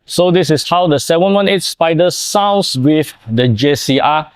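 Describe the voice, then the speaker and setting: A man's voice: fairly high pitch, lively intonation, unhurried, very noisy. A young man explaining and demonstrating in the style of a car product showcase. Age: young adult. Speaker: presenter of a car workshop video.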